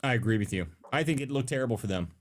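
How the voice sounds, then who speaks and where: A man hosting a live political talk show, speaking in an emphatic way with lots of restarts. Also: mid-range voice. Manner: animated, fast, emphatic, many restarts. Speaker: host.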